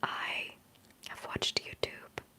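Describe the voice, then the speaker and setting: A woman in her twenties whispering through a relaxed livestream chat, rambling and giggling between thoughts as an ASMR streamer. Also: slow. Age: 20s. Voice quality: soft whisper.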